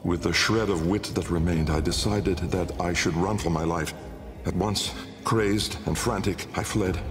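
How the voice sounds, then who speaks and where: An actor playing an old, haunted, learned nobleman-scientist in a video game, delivering a grave, measured gothic monologue with a gravelly voice. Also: sits low, fairly even intonation. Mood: haunted, frantic. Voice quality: gravelly, creaky. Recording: long cavernous reverb under music.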